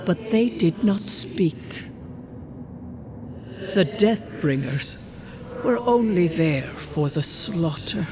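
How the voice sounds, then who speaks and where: An actor voicing a solemn ancient spirit guardian of a fallen people in a fantasy game, speaking formally and gravely. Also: mid-range voice, animated, background noise. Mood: mournful, grave. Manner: slow, grave, formal, archaic phrasing. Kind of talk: dramatic fantasy video game acting. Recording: game music under the voice.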